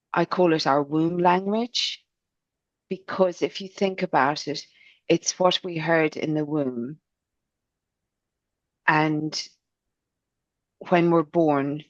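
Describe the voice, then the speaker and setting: A middle-aged voice coach from Ireland with a mixed Irish and South African accent, talking in a gentle, chatty, expressive way. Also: female voice, low-pitched, no echo, lo-fi sound. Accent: Irish and South African English mix. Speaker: voice and speech coach. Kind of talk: podcast interview answer.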